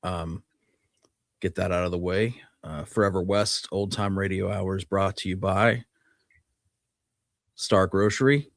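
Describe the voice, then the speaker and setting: Male radio show host, lower-pitched, casual chat delivery, dry close recording. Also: fairly even intonation, measured pace, gravelly, pauses often, no room sound. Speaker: podcast host.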